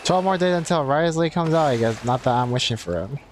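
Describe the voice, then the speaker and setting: A man's voice: on the high side, lively intonation, a little fast, background noise. Man rambling casually through a game stream. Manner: rambling, thinking aloud. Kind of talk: casual live gaming commentary.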